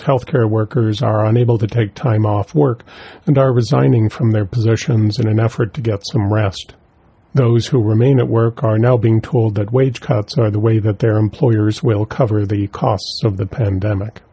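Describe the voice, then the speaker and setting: Mellow-voiced male news correspondent. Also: mid-range voice, husky, no room sound. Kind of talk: scripted news report.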